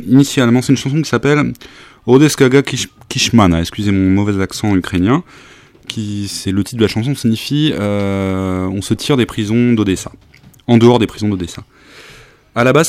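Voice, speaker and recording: A man's voice: lowish voice, darkish tone, dry recording. A man hosting a radio talk, introducing songs in a casual, hesitant way with many fillers. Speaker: radio host presenting songs.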